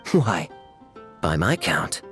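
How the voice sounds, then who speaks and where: An actor playing a suave, teasing young knight officer with polished, wry delivery in an anime video game. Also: male voice, low-pitched, very animated, slow pace, fairly bright tone, heavily acted. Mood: wry, amused. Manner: polished, teasing, leisurely asides. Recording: faint game music behind. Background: game music.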